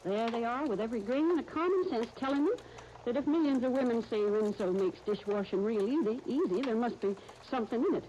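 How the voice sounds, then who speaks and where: An actress playing a brisk, opinionated, no-nonsense spinster, folksy and emphatic in 1930s radio style. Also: female voice, on the high side, warm tone, muffled recording, natural voice. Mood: tart, emphatic. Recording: hissy 1939 radio broadcast disc.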